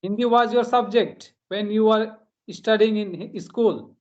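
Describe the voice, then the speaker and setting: A man's voice: sits high, a little slow, a little mellow, dull audio. A man from India chatting casually in as a practice conversation, hesitant and repeating phrases as he goes. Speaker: host.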